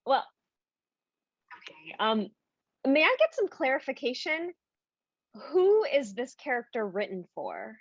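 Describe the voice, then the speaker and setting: A woman's voice: high voice, big pitch swings, slow, pauses often, breathy edge, dry recording, highs cut off, full character voice. A woman playing a polite, uneasy young actress at an audition, hesitant and questioning, in a naturalistic online play. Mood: confused. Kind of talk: naturalistic virtual stage acting.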